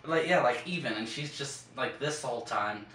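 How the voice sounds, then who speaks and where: A man's voice: medium pitch, lively intonation, moderate pace, sharp tone. A man co-hosting a podcast in casual, slangy chat with some swearing. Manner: slangy, profane. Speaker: podcast co-host. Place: echoing room. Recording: roomy, distant mic, faint noise.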